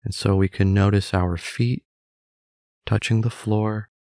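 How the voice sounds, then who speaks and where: A man guides a meditation in a low voice with a gravelly edge. He speaks very slowly and calmly, giving gentle, measured instructions. The sound is dry and close. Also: no room sound.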